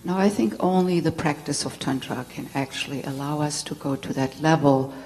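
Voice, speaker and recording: A woman's voice: sits low, moderate pace, warm tone, a little hiss or hum. A middle-aged German-accented woman telling her personal story to an audience as a tantra teacher, candid and laughing often. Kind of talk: personal talk to a live audience. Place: spiritual center hall. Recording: long hall reverb.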